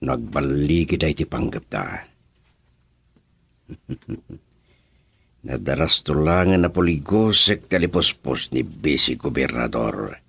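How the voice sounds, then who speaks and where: A man doing a deep gravelly schemer. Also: sing-song, takes it slowly, pauses often, a bit bright, heavily acted. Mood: scheming. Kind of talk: radio drama acting.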